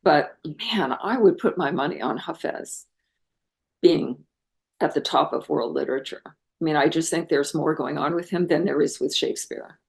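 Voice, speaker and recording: A middle-aged American woman, a scholar and translator, talking earnestly and thoughtfully in an interview, musing with pauses. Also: low-pitched, creaky. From United States. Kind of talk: reflective interview talk.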